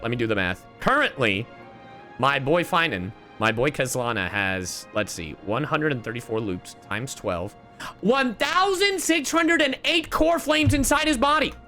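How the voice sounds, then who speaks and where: A man's voice: medium pitch, sing-song, measured pace, pushed and bright, faint music behind. A man streaming a game, chatting casually and reacting to the story as it plays. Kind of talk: casual live stream commentary.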